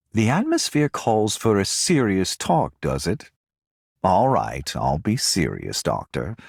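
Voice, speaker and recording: Deep, husky, wry executor. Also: male voice, no echo, studio quality, exaggerated voice. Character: laid-back male executor, wry yet respectful. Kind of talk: scripted game voice lines.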